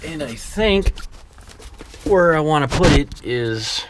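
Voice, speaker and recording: A man's voice: on the high side, animated, slow. A man talking informally and thinking aloud as he works, in the style of a casual hands-on DIY vlog. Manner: loose, informal, thinking aloud while working. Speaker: vlogger restoring an old truck. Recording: clear background ambient noise.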